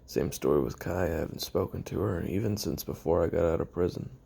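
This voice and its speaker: A man playing a weary, lonely young retired assassin who makes a halting, brooding confession on tape in an audio roleplay, with a gravelly, husky voice. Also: low voice, mellow, heavily acted. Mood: melancholy, resigned. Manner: halting, brooding, trailing off with sighs and pauses.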